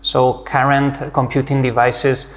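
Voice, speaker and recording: Spanish-accented middle-aged professor, friendly explainer, mid pitch, pauses on "uh" often. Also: male voice, flat delivery. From Spain. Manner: friendly, explanatory, with frequent "uh" hesitations. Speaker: physics professor, interview guest. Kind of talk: academic interview conversation.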